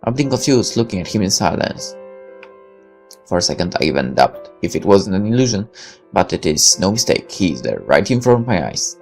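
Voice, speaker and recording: A man reading a first-person visual novel aloud as narrator, dramatic and deliberate, with halting pauses. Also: deep voice, big pitch swings, soft background music.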